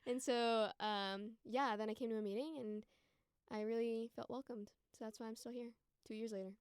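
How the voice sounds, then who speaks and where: Podcast guest, female, about 20, American. Crisp, dry close sound, chatty and informal delivery. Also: a little high, steady tone, moderate pace, dry recording. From United States. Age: around 20. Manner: informal, frequent "like" and "you know". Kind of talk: casual conversational chat and storytelling.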